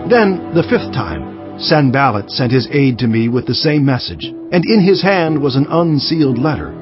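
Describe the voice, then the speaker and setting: Male actor as resolute biblical governor, medium pitch, highly varied intonation, emphatic and measured, dramatized audiobook narration. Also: very animated, natural voice. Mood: measured, earnest. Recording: dull sound with faint music underneath.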